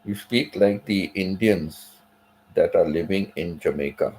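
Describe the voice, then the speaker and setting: A man's voice: deep voice, unhurried, darkish tone, poor audio. A middle-aged man hosting a livestream, chatting casually with viewers and repeating his points as he tells a story. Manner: repetitive, conversational storytelling. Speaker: livestream host. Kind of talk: casual livestream chat reading viewer comments.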